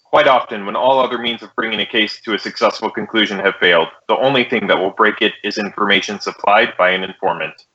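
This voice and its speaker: A businesslike American detective in a vintage radio police drama. His low, forceful voice is clipped and deadpan. He reports each fact plainly, without decoration. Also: fast talker, highs cut off. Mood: flat, official. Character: terse, businesslike male police detective, narrating his case.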